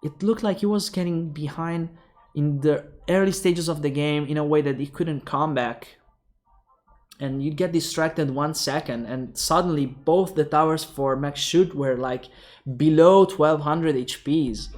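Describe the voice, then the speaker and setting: A young man with an Italian accent casting a mobile game tournament alone, calling plays quickly and thinking aloud to viewers. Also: on the high side. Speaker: esports caster. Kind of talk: solo esports play-by-play commentary.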